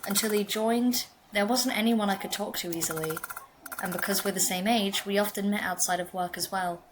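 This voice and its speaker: A woman voicing a reserved young male office worker in a game, speaking flatly and wryly. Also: medium pitch, brisk, noisy background, pitched below the performer's own voice, natural voice. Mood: dry.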